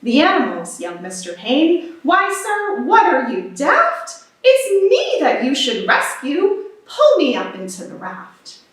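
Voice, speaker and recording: A woman's voice: high voice, wildly swooping pitch, slow pace, heavily acted. A woman voicing a pompous, panicky, self-serving circus owner man in a children's storybook, with a bossy, demanding manner. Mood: indignant, demanding. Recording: very echoey, distant microphone.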